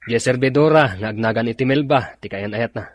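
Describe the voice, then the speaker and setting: A man's voice: medium pitch, fairly quick, no echo, natural voice. An actor with a Filipino accent playing a sociable man chatting with an old comrade in a radio drama.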